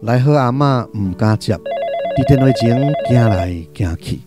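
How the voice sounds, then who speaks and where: A man's voice: medium pitch, lively intonation, a little slow, over loud music, lightly acted. A man with a Taiwanese accent narrating a literary radio drama from a script.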